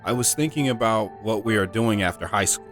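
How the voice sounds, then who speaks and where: An actor playing a hesitant, lovestruck high-school senior in a romantic audio drama. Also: male voice, brisk, music in the background, natural voice.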